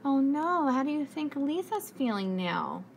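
Female preschool teacher, read-aloud with questions: encouraging, higher voice, very expressive, some room noise. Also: a little slow. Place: indoors, school classroom.

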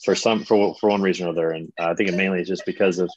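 A man's voice: low-pitched, fairly even intonation, rapid-fire, a little mellow, dry recording. An American man in his forties hosting a casual chat over a video call, chatty and upbeat. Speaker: host. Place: indoors at home, on a video call. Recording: dull video-call audio.

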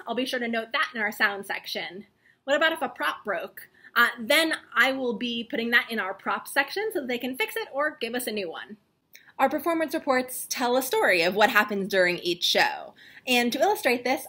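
A young adult woman hosting a scripted explainer to camera, speaking in a clear, presentational way. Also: a little high, sharp tone. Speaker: video series host, theater stage manager. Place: small indoor room with bookshelves.

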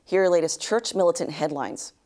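A woman in her thirties anchoring a news bulletin, reading a script with measured, even delivery in a studio. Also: fairly low pitch. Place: studio news set, indoors.

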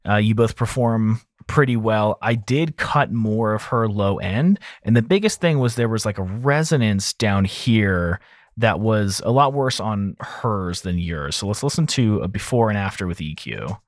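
A man in his thirties hosts an audio mixing tutorial in a casual, conversational way. His voice sits slightly low and is recorded close on a broadcast microphone in a dry home studio. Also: expressive, measured pace, no echo. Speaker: audio engineer host of a mixing series. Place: small home studio room, indoors. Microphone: close large broadcast mic on an arm.

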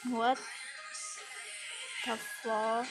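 A woman's voice: fairly high pitch, level tone, very slow, music in the background. Giggly young woman narrating her phone screen. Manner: giggly, chatty, easily distracted. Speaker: vlogger. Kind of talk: casual phone screen-recording commentary. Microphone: phone mic.

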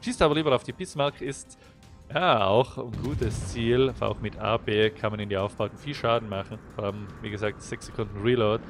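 A man's voice: fairly low pitch, a little fast, soft background music. A young man with a slight cold, explaining a naval game replay as he commentates over it, getting livelier when the action starts. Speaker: gameplay commentator.

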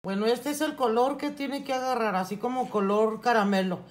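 A middle-aged woman explaining a recipe step by step in her home kitchen, unhurried and plain, with frequent restarts. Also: on the high side, fairly even intonation, background noise. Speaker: home cooking channel host. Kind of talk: casual cooking tutorial.

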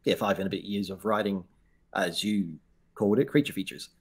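A man's voice: low-pitched, steady tone, brisk, slightly rough. Laughing Australian man on a video call. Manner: self-deprecating, laughs often, repeats words when starting. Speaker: guest author. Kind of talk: casual video-call interview. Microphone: headset on video call.

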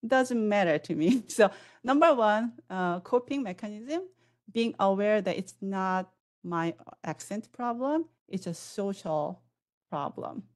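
A woman in her forties with a non-native English accent, speaking on a video-call panel through a headset. She answers in a measured, reflective way with pauses and fillers. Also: mid-range voice, expressive.

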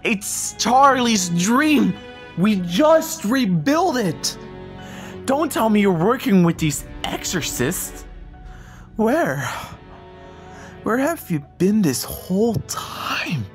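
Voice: mid-range voice, very animated, extremely slow. A performer voicing an anxious, emotional male king of hell in a fan comic dub. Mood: exasperated. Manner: frantic, pleading, easily distressed. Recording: faint music behind.

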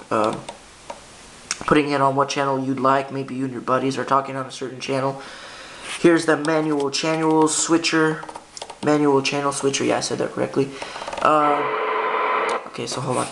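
A man's voice: mid-range voice, level tone, normal speed, gravelly, pauses often, fairly bright tone. A man giving a casual, unscripted gear walkthrough in a hesitant, informal way, often correcting himself, recorded on a handheld camera indoors. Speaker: hobbyist demonstrating a radio. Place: indoor room by a window. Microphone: handheld camera's built-in microphone. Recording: noisy amateur camera audio with clicks.

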